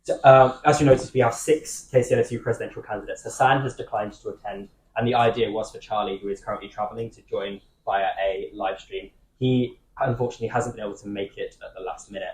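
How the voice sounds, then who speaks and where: A young man moderating a student debate, explaining the format quickly with some fillers. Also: lowish voice, steady tone, echoey room, a little hiss or hum, low-quality recording. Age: young adult. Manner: quick, slightly halting with fillers.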